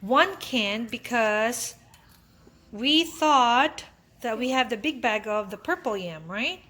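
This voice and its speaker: A woman's voice: a little high, animated, slow pace, sharp tone. A mother and channel host from the Philippines, chatting casually in her home kitchen and prompting her son with step-by-step questions. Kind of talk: casual home cooking chat. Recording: noisy home kitchen with clicks.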